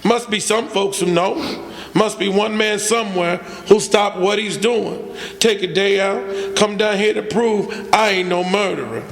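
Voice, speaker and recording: A man's voice: sits high, unhurried, creaky. An actor playing a humble, earnest working man, hesitant and plain-spoken, in a radio drama. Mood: weary, pleading. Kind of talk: 1940s radio drama acting. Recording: live stage, long hall reverb.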